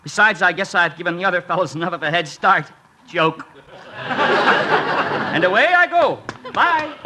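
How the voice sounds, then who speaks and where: An actor playing an eager, hapless young college runner, out of breath, halting and good-naturedly self-deprecating in an old radio sitcom. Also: male voice, high voice, lively intonation. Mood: cheerful, breathless. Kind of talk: 1950s radio sitcom acting. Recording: very noisy old radio broadcast.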